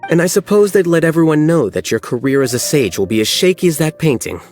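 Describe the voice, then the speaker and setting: An actor playing an aloof, rational young scholar in an anime game, deadpan, measured and coolly sardonic. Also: male voice, fairly high pitch. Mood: dry, sardonic. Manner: deadpan, measured, formal wording. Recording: faint music behind the voice.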